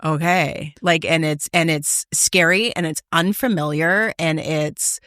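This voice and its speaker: A woman's voice: sits low, a little slow, fairly bright tone, no room sound, clean studio sound. A woman of about fifty talking candidly and casually as a podcast host, retelling things with quoted self-talk.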